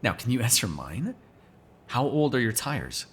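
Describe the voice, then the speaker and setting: A man's voice: mid-range voice, very animated, measured pace, slight background noise. A man playing a sardonic, teasing, foul-mouthed vampire in an ASMR roleplay, talking casually to one listener. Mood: guarded, evasive. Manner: casual, teasing, sarcastic, swears freely.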